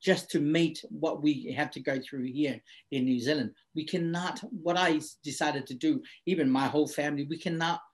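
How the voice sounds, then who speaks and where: A man's voice: fairly high pitch, fairly even intonation, normal speed, hardly any pauses. A middle-aged man from Samoa, whose first language is not English, speaking reflectively in an interview and repeating phrases for emphasis. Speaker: guest.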